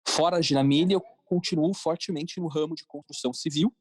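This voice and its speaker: A man's voice: on the high side, fairly even intonation, brisk, no echo. A man with a Brazilian accent answering interview questions in an informal, explanatory conversational way. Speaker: podcast guest, cartoon creator and entrepreneur.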